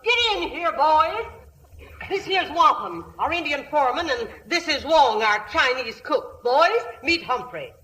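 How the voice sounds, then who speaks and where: A woman's voice: high-pitched, lively intonation, unhurried, bright, forceful tone, exaggerated voice. An actress playing a bossy, gushing, social-climbing frontier mother with an American accent and folksy talk dressed up as refined, in radio comedy. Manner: folksy dialect with affected refinement. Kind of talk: 1950s radio comedy acting. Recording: noisy old radio broadcast.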